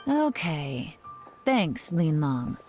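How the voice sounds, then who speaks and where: An actress playing a cool, shrewd, composed woman investigator in a video game, speaking in a measured, deliberate way. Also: low-pitched, big pitch swings, exaggerated voice. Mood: smooth, casual. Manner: measured, smooth-talking, deliberate. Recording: soft music behind the voice.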